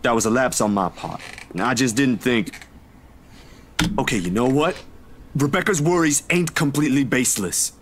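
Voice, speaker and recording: A man's voice: medium pitch, moderate pace, pauses often, slight background noise, natural voice. An actor playing a laid-back, wry and protective young man in a visual novel. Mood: apologetic, concerned.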